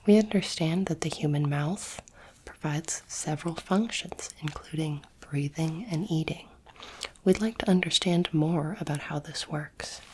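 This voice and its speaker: A woman voicing a calm, clinical alien doctor in an ASMR roleplay, whispering softly in a measured, formal and soothing way. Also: low voice, slight background noise, rough recording. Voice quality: soft, breathy whisper. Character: calm clinical alien space doctor examining a human specimen.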